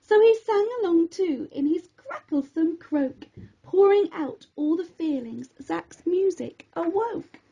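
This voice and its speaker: A woman narrates a rhyming children's story with theatrical expression. Her voice is high and very melodic, rising and falling widely. She takes her time over each phrase. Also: lively intonation, slow, gravelly, dark tone, muffled recording.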